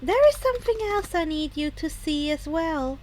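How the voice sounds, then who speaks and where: Lady doing a clever animal principal from a story, really high voice, calm and composed. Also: moderate pace, slight background noise, poor audio. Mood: composed, firm.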